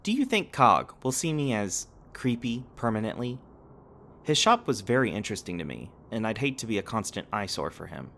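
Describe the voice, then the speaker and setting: A man's voice: on the low side, big pitch swings, fairly quick, gravelly, a bit bright, slight background noise, heavily acted. A man playing a polite, curious companion robot in an ASMR roleplay, speaking formally and precisely with a literal-minded courtesy. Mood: curious, thoughtful. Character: polite, curious, eager-to-please male humanoid companion robot. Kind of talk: ASMR roleplay audio drama acting.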